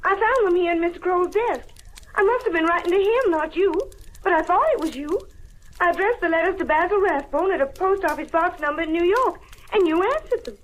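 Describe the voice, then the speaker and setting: A woman's voice: very high-pitched, fairly quick, poor audio. A woman acting as a coy, flighty belle in an old radio mystery comedy, wheedling. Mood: earnest explaining. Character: coy, flighty Southern belle wife, a murder suspect. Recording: old radio recording with faint noise.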